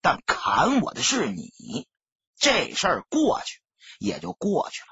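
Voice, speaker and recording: A man's voice: sits high, huge pitch swings, a little slow, bright, forceful tone, husky, dry recording, highs cut off, heavily acted. A man voicing a veteran gang boss, menacing yet sincere and insistent, in an audiobook. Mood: earnest, reproachful. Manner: emphatic, insistent.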